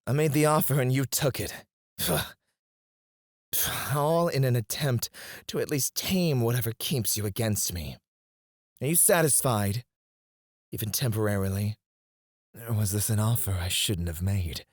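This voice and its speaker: A man's voice: mid-range voice, unhurried, long pauses, no echo, clean studio sound, close to an everyday voice. A man playing a cocky, flirty royal alchemist in an intimate fantasy ASMR roleplay, teasing and goading a rival with sardonic mock politeness. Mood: indignant.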